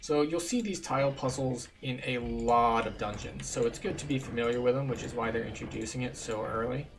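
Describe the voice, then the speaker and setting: Casual male gaming guide, middling pitch. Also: fairly quick, over music. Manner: informal, explanatory. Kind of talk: casual game walkthrough commentary.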